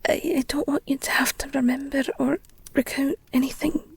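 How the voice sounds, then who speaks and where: A woman playing a protective, guilt-ridden werewolf girlfriend in an intimate audio roleplay, speaking in a hushed, halting, soothing, breathy whisper. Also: high voice, fairly even intonation. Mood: gentle, hesitant.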